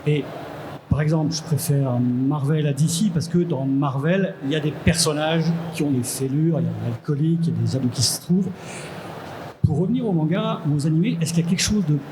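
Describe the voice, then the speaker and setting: A middle-aged man in the audience, slowly and tentatively asking the panel a question. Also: a little high. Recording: noisy live event ambience.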